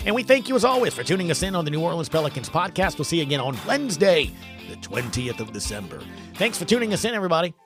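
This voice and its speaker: A man's voice: fairly high pitch, very animated, rapid-fire, music in the background. A man hosting a podcast with casual, punchy sports talk full of rhetorical questions. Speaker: podcast host.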